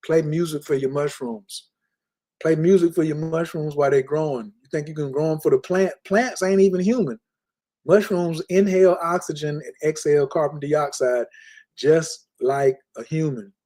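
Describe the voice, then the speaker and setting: A man teaching in an emphatic, lecturing way on a casual podcast, repeating key words slowly. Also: on the high side, mellow. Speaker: spiritual teacher. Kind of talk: casual podcast talk, preaching.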